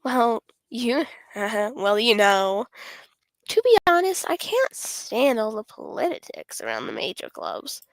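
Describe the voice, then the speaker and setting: Woman as a confident, high-voiced schoolgirl club president. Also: huge pitch swings, slow pace, no room sound, full character voice. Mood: candid. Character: confident, friendly teenage girl club president. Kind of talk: visual novel character read on stream.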